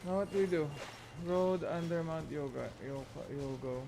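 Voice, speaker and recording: A man's voice: fairly high pitch, normal speed, creaky, noisy background. A man giving casual, off-the-cuff commentary as he streams a video game. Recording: loud game music behind the voice. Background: game music and effects.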